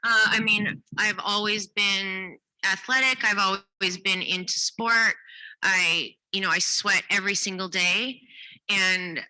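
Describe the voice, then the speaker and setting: A woman's voice: medium pitch, measured pace, bright, forceful tone, poor audio. An enthusiastic, earnest woman from Canada, middle-aged, answering questions at a press briefing. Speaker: event ambassador.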